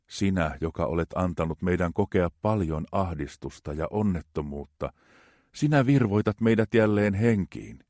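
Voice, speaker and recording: A man with a very low, deep voice reads psalms slowly and reverently. The sound is dry and close, with no room echo. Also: lively intonation, darkish tone. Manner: slow, measured, reverent reading. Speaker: scripture reader. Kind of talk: solemn reading of psalms.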